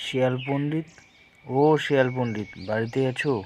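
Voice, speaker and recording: A man's voice: mid-range voice, normal speed, faint music behind, lightly acted. A man voicing a simple, honest father crocodile who talks plainly and earnestly. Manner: plain, earnest, calling out loudly.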